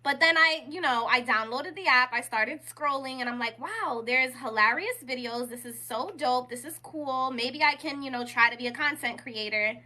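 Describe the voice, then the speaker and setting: A woman in her thirties with a New York accent, a rapping poet chatting as a guest and acting out her own quotes in lively asides. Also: high voice, normal speed, few pauses. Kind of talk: casual interview chat.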